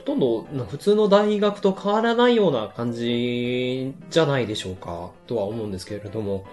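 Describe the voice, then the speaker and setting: A man's voice: mid-range voice, lively intonation, brisk, a little mellow. A man chatting casually as a co-host on a radio show. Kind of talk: casual chat. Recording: light music behind the voice. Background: background music.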